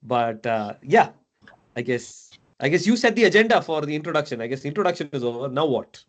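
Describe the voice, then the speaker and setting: A man's voice: fairly high pitch, lively intonation, brisk. A man in his thirties, raised in Dubai, talking casually as a mentor, chatty, with many fillers and tag questions. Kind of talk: casual video call chat.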